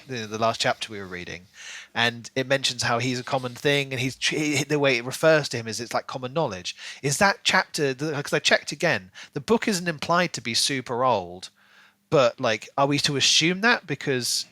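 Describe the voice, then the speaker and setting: A man's voice: mid-range voice, fairly quick, no room sound. A man chatting casually and animatedly as a podcast co-host in a book discussion. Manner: animated, acts out imagined lines in playful voices.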